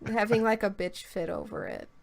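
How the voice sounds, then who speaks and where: A woman's voice: mid-range voice, normal speed, creaky. A young woman joining a casual chat as a guest, playful and opinionated, joking as she talks. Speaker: guest co-host.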